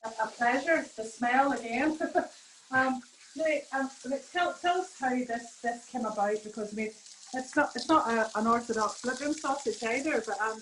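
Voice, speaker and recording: A woman hosts a live video event from her kitchen, heaping praise on guests and tripping over words now and then. She is set back from the mic in a roomy, echoey space with a faint hiss, her voice a bit high. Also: normal speed, distant mic. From Northern Ireland. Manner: warm-hearted, effusive praise, stumbles. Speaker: chef and event host. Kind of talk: hosting a live virtual event. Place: home kitchen. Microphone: video call.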